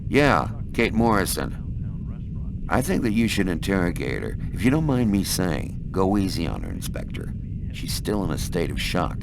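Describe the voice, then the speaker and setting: A man's voice: low-pitched, very animated, moderate pace, vocal fry, noisy background, heavily acted. An actor playing a dutiful, considerate male New York beat cop in a video game, plain-spoken and deferential. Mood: gentle concern.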